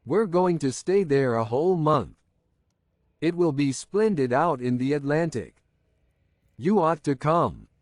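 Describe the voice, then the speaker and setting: A man reading the lines of an eager, teasing young woman who presses her questions, in a slow, lively storybook reading. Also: fairly high pitch, no room sound, clean studio sound. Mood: pressing.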